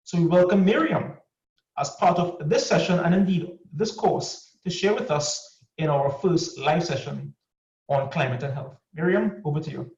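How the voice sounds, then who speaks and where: A man hosting an online course session, giving a measured, formal introduction of a panelist over a video call. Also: a little high, darkish tone. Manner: measured, formal delivery with drawn-out phrases. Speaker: course host. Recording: distant, echoey, muffled video call audio.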